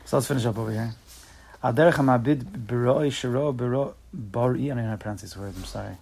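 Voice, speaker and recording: A man leading a casual religious class among friends, animated and joking, often repeating his words. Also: mid-range voice, fast talker, creaky, slight background noise. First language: not Hebrew. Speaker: class leader. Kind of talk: casual Torah class chat.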